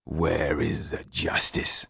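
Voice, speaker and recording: A male audiobook reader plays a rough English jailer, a bullying bulldog of a man. His slangy speech is slow and threatening, pushed up from a low register, with a gravelly edge and a dry, close sound. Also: level tone, sharp tone, dry recording, full character voice. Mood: bitter grumbling. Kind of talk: acted audiobook dialogue.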